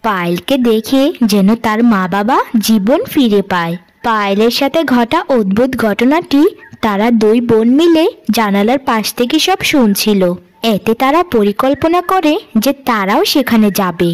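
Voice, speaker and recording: High-pitched woman storyteller. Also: animated, moderate pace. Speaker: narrator. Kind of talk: scripted cartoon story narration.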